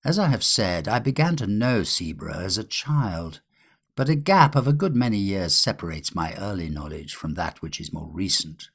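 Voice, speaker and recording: A man reading a literary ghost story in a measured, expressive way with dramatic pauses. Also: low-pitched. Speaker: audiobook reader.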